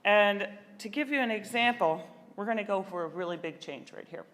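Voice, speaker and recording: A woman giving a conference keynote in a chatty, emphatic way, talking quickly. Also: medium pitch, a bit bright. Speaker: keynote speaker. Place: large conference hall. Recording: long hall reverb, faint ambience.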